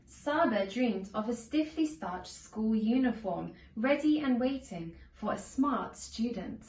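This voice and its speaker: A woman narrating a children's storybook aloud in a measured, deliberate storytelling manner. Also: a little high, lively intonation, a little mellow. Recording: echoey room, distant from microphone.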